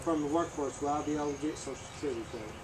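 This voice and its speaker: A hesitant young man in his twenties asks a question from the audience, picked up from a distance across the room. He talks quickly and sits a little high in pitch. Clear background noise runs under his voice. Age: 20s. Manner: hesitant, corrects himself. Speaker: audience member asking a question. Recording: noisy, heard from far off.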